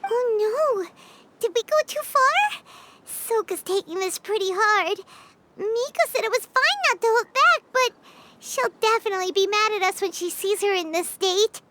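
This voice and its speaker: A woman voicing a tiny, excitable, girlish companion creature in a video game, with a high, squeaky childlike voice and a bouncy, exclaiming way of talking. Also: normal speed, a little hiss or hum, heavily acted. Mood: worried. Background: game music.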